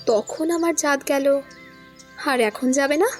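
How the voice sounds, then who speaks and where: A stubborn young widow. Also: female voice, high voice, takes it slowly, faint music behind. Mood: bitter. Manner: firm, resentful replies. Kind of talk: audio drama acting.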